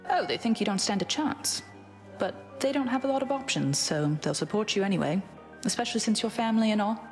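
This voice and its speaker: A woman's voice: medium pitch, lively intonation, a little fast, darkish tone, soft background music, natural voice. A woman voicing a confident young huntress goddess in a video game, speaking in a casual, candid and wry way. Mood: frank, supportive.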